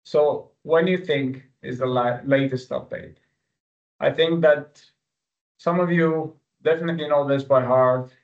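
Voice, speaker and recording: A man whose native language is Arabic, teaching an online exam-review webinar with live quiz questions in an informal, halting way. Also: on the high side, steady tone. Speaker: exam-prep instructor. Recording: dull, lo-fi webinar audio.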